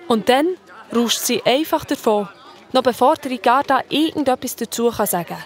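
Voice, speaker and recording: A woman as narrator, telling a scripted children's story in a colloquial, expressive way. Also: mid-range voice.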